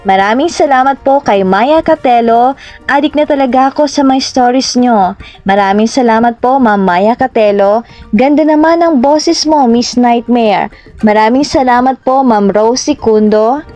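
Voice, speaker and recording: A woman hosting a story channel, reading scripted thanks and shout-outs in a courteous, formal way. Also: fairly high pitch, measured pace. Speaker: host of horror story channel. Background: music.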